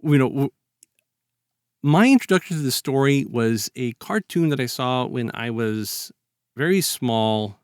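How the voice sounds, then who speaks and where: A man talks as a podcast host in a close, dry recording. His delivery is halting and conversational, with a medium-pitched voice. He reflects casually on what he reads and animates his retellings with acted-out quotes. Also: expressive, clear, resonant tone, no room sound. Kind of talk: casual reflective literary discussion.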